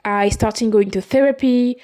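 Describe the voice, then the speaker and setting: Woman in her twenties, halting confessional talk. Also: fairly high pitch, fairly even intonation, warm tone. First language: not English. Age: 20s. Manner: halting, with frequent restarts and self-corrections. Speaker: podcast host. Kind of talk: personal confessional monologue.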